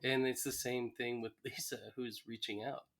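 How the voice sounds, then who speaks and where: A man's voice: mid-range voice, little pitch movement, moderate pace, a bit bright. A middle-aged man co-hosting a casual podcast chat. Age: 40s or older.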